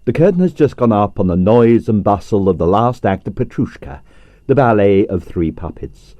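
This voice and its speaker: Expressive male audiobook narrator. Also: on the low side, normal speed, no echo. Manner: expressive, lively storytelling. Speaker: audiobook reader.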